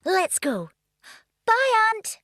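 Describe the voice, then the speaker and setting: A woman playing an eager, impatient little girl in a children's story, with an excitable, insistent manner. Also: very high-pitched, very slow, no room sound, clean studio sound, full character voice. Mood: gleeful. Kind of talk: children's audio drama performance.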